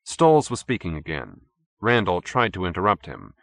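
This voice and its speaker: A man's voice: sits low, sing-song, normal speed. A man reading fiction as an audiobook narrator, in scripted storytelling style.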